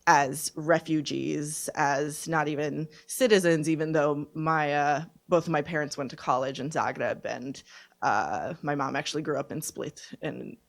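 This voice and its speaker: Halting young woman giving testimony. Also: deep voice, steady tone, gravelly. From born in Serbia, raised from infancy in Canada. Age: 20s. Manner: halting, deliberate, reflective. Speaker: panelist, refugee survivor. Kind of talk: personal testimony at a panel discussion. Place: conference event, speaking on a panel.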